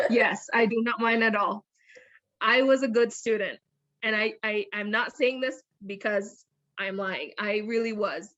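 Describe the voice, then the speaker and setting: A woman who is a university counselor, answering student interview questions in a chatty, lively way and often correcting herself. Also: medium pitch, dry recording, lo-fi sound.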